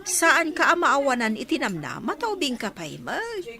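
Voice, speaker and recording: A woman's voice: high voice, huge pitch swings, normal speed, creaky, crowd noise, exaggerated voice. A woman playing a consoling, practical and outspoken village woman with an Ilocano accent in a radio drama.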